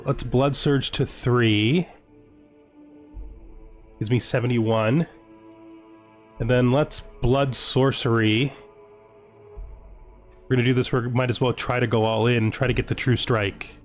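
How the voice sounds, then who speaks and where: A man giving casual video game commentary, thinking aloud as he deliberates his choices. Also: mid-range voice, fairly even intonation. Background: music.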